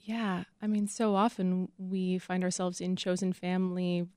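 A woman's voice: on the low side, level tone, measured pace, a little mellow, clean tone, dry recording, clean studio sound. A woman answering interview questions as a guest, speaking casually and conversationally about her creative work. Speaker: interview guest, casting director.

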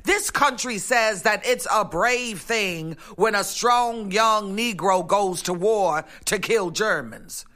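An actress in a radio drama playing a stern, resolute activist who speaks with deliberate, oratorical force. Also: female voice, medium pitch, fairly bright tone.

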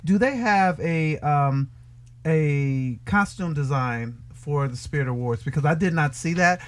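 Halting man with slightly high voice. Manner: halting, repeats words for emphasis. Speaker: film critic guest. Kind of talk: casual radio panel chat.

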